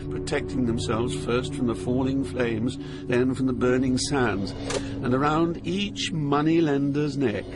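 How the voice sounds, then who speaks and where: Male actor as fearful pilgrim, dramatized literary narration, theatrical, solemn, medium pitch, prominent music. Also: measured pace, lightly acted. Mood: solemn dread. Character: awed, fearful poet pilgrim recounting journey through hell.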